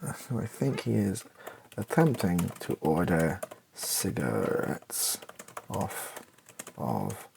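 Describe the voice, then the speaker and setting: A man's voice: low voice, wildly swooping pitch, takes it slowly, creaky, background noise. A British man thinking aloud in an ASMR style as he writes, tentative, wry and self-mocking. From Britain. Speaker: ASMR video creator. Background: keyboard typing and clicks.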